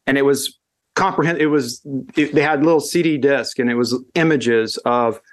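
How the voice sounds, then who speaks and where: A man's voice: mid-range voice, steady tone. Man talking through ideas with restarts. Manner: thoughtful, halting with frequent restarts. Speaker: guest educator. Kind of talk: casual conversation.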